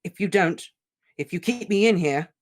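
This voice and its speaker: A reader voicing a grieving teenage boy wizard, shouting and shaking with rage. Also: mid-range voice, animated, normal speed, pitched above the performer's own voice, natural voice. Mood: raging. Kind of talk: audiobook character reading.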